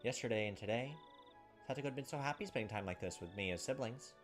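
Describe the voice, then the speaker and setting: A man reads story text from a visual novel at a quick clip. He slips between narration and casual remarks as a gaming host, with music playing behind his voice. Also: mid-range voice. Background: video game music.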